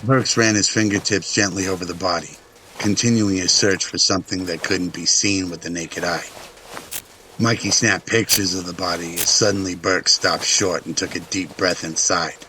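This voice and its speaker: A man's voice: sits low, fairly even intonation, moderate pace, pushed and bright, slight background noise, poor audio. A man reading scripted dramatic narration as the narrator of a story.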